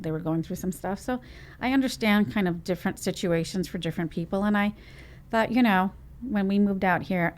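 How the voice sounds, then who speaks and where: Lively woman from Trinidad and Tobago. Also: lowish voice. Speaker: business owner guest. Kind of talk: conversational interview.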